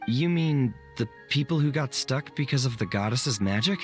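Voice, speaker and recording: A man's voice: mid-range voice, expressive, moderate pace, lightly acted. An actor playing an earnest, unsure young man in a video game, respectful and deferential to his monarch. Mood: curious. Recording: music under the voice.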